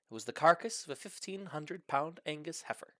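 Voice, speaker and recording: A man's voice: on the high side, animated, brisk, pushed and bright, no echo, studio quality. A man reading a comic short story aloud with mock-serious, dramatic delivery. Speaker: story reader.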